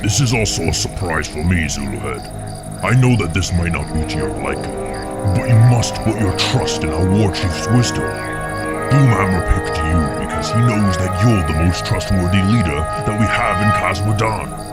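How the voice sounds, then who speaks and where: An actor playing a reasonable, loyal orc chieftain who keeps the peace in a fantasy game. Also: male voice, sits low, normal speed, over loud music, natural voice. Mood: placating. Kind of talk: scripted fantasy game cutscene acting.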